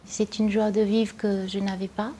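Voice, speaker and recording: A woman's voice: mid-range voice, flat delivery, normal speed, poor audio. A young woman from Vietnam giving a personal testimony, speaking hesitantly with many fillers and restarts. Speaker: interviewee.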